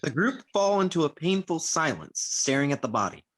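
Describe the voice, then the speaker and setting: Casting: female script narrator, extremely low register with animated intonation, reading stage directions. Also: moderate pace. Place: remote video call.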